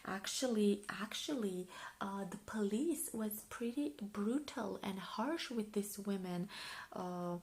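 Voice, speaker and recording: A woman from Romania with a Romanian accent, speaking softly in an ASMR style and often slipping into a whisper, musing and repeating short phrases. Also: mid-range voice, slow. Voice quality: soft, often breaking into whispers. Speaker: ASMR video creator reviewing a magazine. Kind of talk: soft-spoken ASMR.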